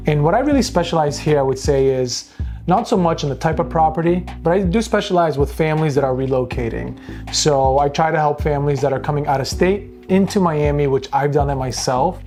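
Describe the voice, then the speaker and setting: A man's voice: on the high side, a little fast, darkish tone. Earnest man, music under him. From Boston. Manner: earnest, conversational, with some restarts. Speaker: real estate agent, guest. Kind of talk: interview.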